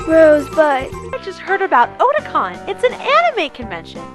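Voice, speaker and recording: Woman acting a very high, excitable anime schoolgirl. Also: moderate pace. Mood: eager, excited. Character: excitable teenage anime club girl in a parody dub. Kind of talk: amateur comedic anime dubbing. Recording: music behind the dialogue. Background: music.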